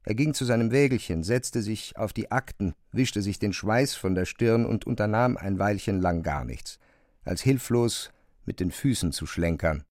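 A man's voice: fairly low pitch, normal speed, a little mellow, no echo, studio quality. A man reading scripted literary narration for an audio drama.

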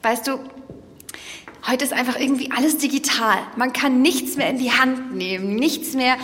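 An actress playing an excitable young woman who hosts a podcast in an audio drama, sometimes dropping to a whisper. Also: high-pitched, moderate pace, breathy edge. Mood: wistful. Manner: excitable, whispering when tense. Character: curious young woman podcaster hunting a lost tape. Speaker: podcast host in a fiction podcast.

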